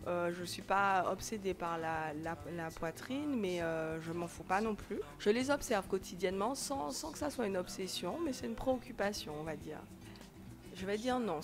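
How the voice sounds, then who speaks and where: A woman in her late thirties answering interview questions in a fairly low voice, hesitating often and restarting her sentences. Faint music plays softly behind her. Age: late 30s. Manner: hesitant, frequent fillers and restarts. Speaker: TV editor-in-chief and presenter, interview guest.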